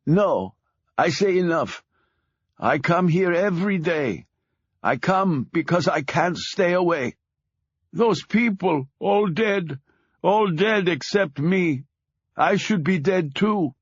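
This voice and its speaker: A man putting on a squeaky, nervous Polish immigrant. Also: animated, husky, dry recording, lo-fi sound, exaggerated voice. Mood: anguished. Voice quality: squeaky, halting broken English.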